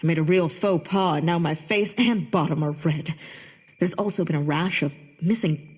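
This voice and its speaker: A woman's voice: deep voice, moderate pace, darkish tone, breathy edge, soft background music. An actress playing a bitter, theatrical Victorian woman poet in a comedic period audio drama, with a haughty, sardonic delivery. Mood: haughty, wry.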